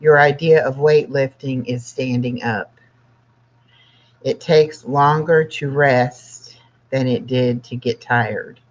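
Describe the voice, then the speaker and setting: A sixty-year-old livestream host chatting casually and reading aloud in a plainspoken, halting way, with pauses between phrases. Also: medium pitch, fairly even intonation. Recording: dull lo-fi livestream audio.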